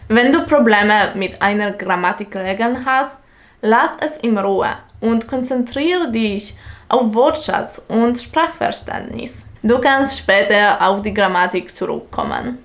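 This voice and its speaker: A young woman whose first language is Polish explains German learning in careful, non-native German with a Polish accent, speaking deliberately as a teacher. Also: a little high. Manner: slow, careful, deliberate delivery with small grammar slips.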